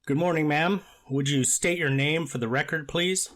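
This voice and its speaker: A man playing a stern, measured judge who speaks firmly and deliberately in a courtroom transcript reading. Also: fairly high pitch, fairly bright tone, slight background noise, close to an everyday voice. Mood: formal, courteous. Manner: deliberate, firm, authoritative. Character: stern, measured male judge presiding over sentencing.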